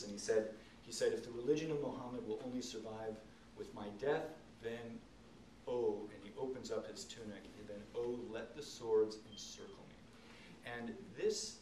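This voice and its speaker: A middle-aged man speaking as an author at a public talk, explaining and telling stories in an earnest, emphatic way with halting pauses. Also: fairly low pitch, a little mellow. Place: indoor event room, seated on stage. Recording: roomy, distant camcorder sound, faint noise.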